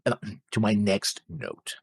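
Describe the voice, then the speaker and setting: A man co-hosting a reaction show, chatting casually and rephrasing as he argues his points in a halting way. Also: fairly low pitch, vocal fry, husky, no echo, clean studio sound. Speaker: co-host of an anime reaction show. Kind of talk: casual discussion.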